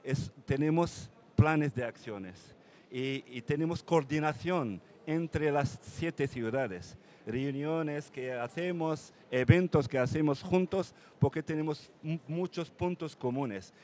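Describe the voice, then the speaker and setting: Man, mayor, halting, restarts often, panel talk, faint background hiss. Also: a little high, a little mellow. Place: conference panel.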